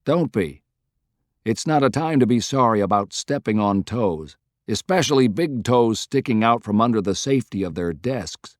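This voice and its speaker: A man's voice: lowish voice, lively intonation, normal speed, dry recording, clean studio sound, close to an everyday voice. Man voicing a folksy, emphatic American president. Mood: wry, warm. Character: plain-spoken, weary, folksy male American president.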